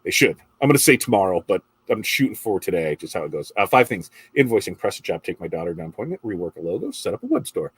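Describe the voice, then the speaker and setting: A man's voice: deep voice, big pitch swings, fast, hardly any pauses, slightly rough, no echo. A middle-aged man with a congested voice hosts a casual morning livestream, chatting and reading viewer comments in a rambling way.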